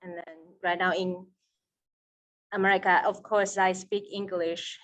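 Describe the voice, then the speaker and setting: A woman from Taiwan with a Taiwanese accent, a seminary student, answering an interview over a video call with slow, careful, non-native English. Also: on the low side, level tone, fairly bright tone.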